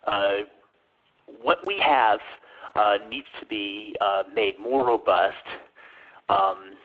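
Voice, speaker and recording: Man, low voice, halting delivery, phone-quality audio. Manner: hesitant, many ums and uhs. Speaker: webinar presenter. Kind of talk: answering questions in a webinar. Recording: tinny phone-line audio.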